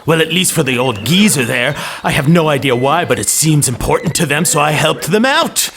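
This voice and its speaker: An actor playing a smug, hostile antagonist in a fantasy audio drama. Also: male voice, on the high side, lively intonation, moderate pace, gravelly, noisy background. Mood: smug, taunting.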